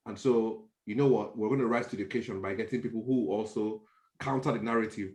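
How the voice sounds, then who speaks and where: A man's voice: lowish voice, steady tone, fast talker, darkish tone. A man with a Nigerian accent in a podcast discussion, speaking emphatically and restating his points. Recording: roomy, echoing sound.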